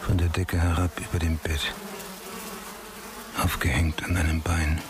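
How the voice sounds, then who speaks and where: Brooding cop, low and slow, short clipped phrases, noisy radio play recording. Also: male voice, little pitch movement. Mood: grim, brooding. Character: grim, weary male homicide police sergeant. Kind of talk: German radio crime drama.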